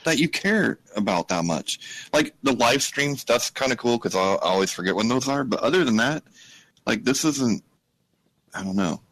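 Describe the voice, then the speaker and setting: A man's voice: mid-range voice, expressive, moderate pace, a bit bright, no echo, low-quality recording. A man co-hosting a podcast, chatting casually in a laid-back, wry way. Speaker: podcast co-host. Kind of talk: casual chat.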